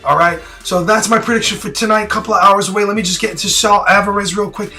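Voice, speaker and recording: A man with a raspy, hoarse voice, worn out from yelling, talking straight to camera in a blunt, rambling and opinionated way. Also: high voice, fairly even intonation, quick pace, soft background music. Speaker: boxing prediction vlogger. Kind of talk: casual direct-to-camera talk.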